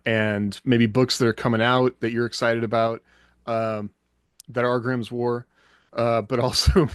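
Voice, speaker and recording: A man's voice: medium pitch, dry recording. Man hosting a podcast, close dry sound. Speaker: podcast host. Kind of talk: casual podcast interview hosting.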